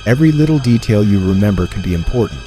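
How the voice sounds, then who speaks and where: An actor playing a composed, dry FBI investigator in an animated noir satire, speaking with calm authority. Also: male voice, fairly low pitch, racing, faint music behind, natural voice. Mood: calm, official.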